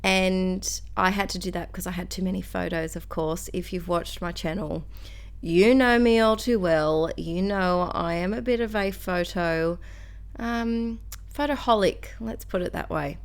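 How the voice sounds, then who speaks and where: A woman's voice: lowish voice, unhurried. A young Australian woman chatting casually through a craft video, rambling and self-deprecating. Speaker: crafter and school teacher.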